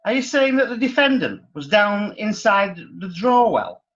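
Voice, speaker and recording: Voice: mid-range voice, very animated, normal speed, a bit bright, dull audio. An actor playing a wry, impatient magistrate in a radio play, exasperated and colloquial. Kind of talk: radio drama acting.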